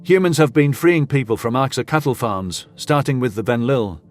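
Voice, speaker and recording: A man's voice: mid-range voice, moderate pace, soft background music, natural voice. A man voicing a jokey male soldier with breezy, sarcastic ribbing, in a sci-fi audio story. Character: mocking, jokey young human male soldier.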